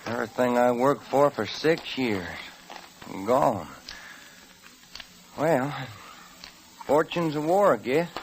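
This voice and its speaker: A young American cowboy rancher in an old radio Western. He is folksy, plain-spoken and hot-headed, with a middling pitch under a light recording hiss. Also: slow pace, pauses often, poor audio. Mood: bitter, defeated. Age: young adult. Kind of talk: 1940s radio western acting.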